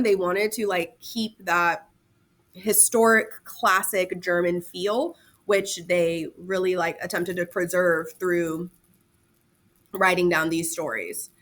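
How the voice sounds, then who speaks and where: A woman's voice: mid-range voice, fairly bright tone. A woman teacher giving a casual lesson to students, talking informally with slang and chatty asides and repeating key terms.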